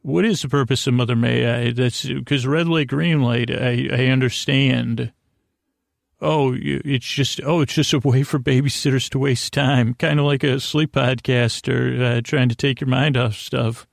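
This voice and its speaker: A middle-aged man hosting a bedtime podcast, rambling in a halting, stammering way with self-deprecating, gently silly asides and tangents. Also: mid-range voice, steady tone, creaky, no echo, studio quality. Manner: halting, stammering, repeats words and trails off into tangents. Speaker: podcast host.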